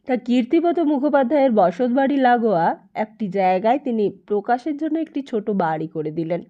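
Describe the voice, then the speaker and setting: A woman narrating a literary story as an audiobook, in an expressive, emphatic, dramatic way. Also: fairly high pitch, brisk, highs cut off.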